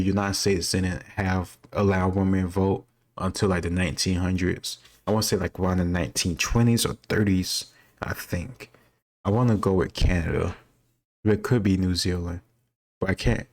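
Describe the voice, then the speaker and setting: A man giving casual, rambling commentary as he answers quiz questions, thinking aloud and candid about what he doesn't know. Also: deep voice. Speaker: quiz-taker sharing his own commentary.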